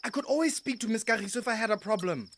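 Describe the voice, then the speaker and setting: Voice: mid-range voice, lively intonation, racing, a bit bright, slight background noise, natural voice. An actor playing an earnest, indignant schoolboy in a radio drama, pleading and insistent. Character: earnest, indignant schoolboy who uses a wheelchair.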